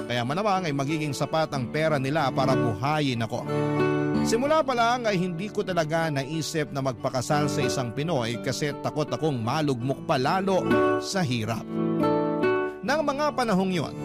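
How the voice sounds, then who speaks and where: High-voiced Filipino male storyteller over guitar. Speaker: radio storyteller. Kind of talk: scripted first-person drama narration. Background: guitar music.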